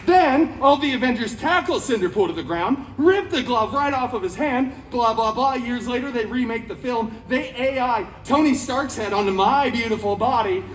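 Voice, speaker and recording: A man playing a flamboyant, sarcastic antihero, telling a comic parody fairy tale to an audience with dramatic pauses. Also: very high voice, slight background noise. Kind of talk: comic live storytelling to an audience. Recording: roomy, distant live event with music behind.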